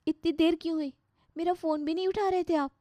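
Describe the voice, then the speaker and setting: Woman as a very high-voiced, plaintive young wife. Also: steady tone, fairly quick, mellow, no room sound. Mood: hurt, upset. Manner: plaintive, emotional. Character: hurt, timid young wife, pleading and earnest. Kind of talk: dramatised Hindi moral story.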